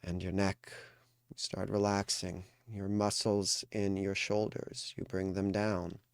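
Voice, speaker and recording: A man leading a guided meditation as a coach, speaking in a calm, soothing, deliberate way with pauses. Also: deep voice, smooth, dry recording, clean studio sound.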